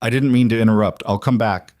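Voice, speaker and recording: A man's voice: mid-range voice, fairly even intonation, a little fast, darkish tone, no echo, studio quality, natural voice. A man voicing a shy, nervous young woman who works as a hotel cleaner, talking hesitantly in a raised voice. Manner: timid, hesitant, babbling.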